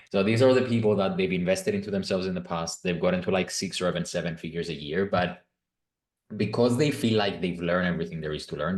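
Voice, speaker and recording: Deep fast-talking Greek-accented man. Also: reverberant. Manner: coaching, leading questions with frequent "right?" checks. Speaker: sales closer. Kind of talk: live sales call.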